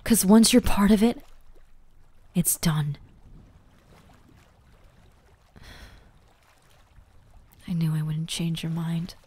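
A woman playing a gentle, grieving but resolute young ghoul girl in a roleplay audio drama, speaking softly and earnestly with pauses and whispers. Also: lowish voice, husky. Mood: serious, resigned. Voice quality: soft, often breaking into whispers. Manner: slow, emotional, earnest, with pauses.

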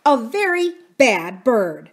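Storybook aunt, brisk wife, harried yet fond, raised pitch, emphatic lines by a female narrator. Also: huge pitch swings, a little mellow, full character voice. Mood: stern.

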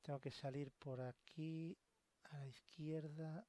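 A man's voice: on the high side, steady tone, takes it slowly, dry recording. A man with a Spain accent giving casual gameplay commentary, muttering tensely and swearing to himself. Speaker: gamer, let's player. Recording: loud game music behind voice. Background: loud horror game music and sound.

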